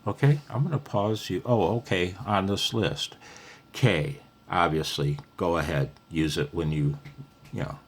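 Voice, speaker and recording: A man's voice: low voice, a little slow. A man giving a casual, unscripted hobby tutorial, rambling conversationally as he thinks aloud.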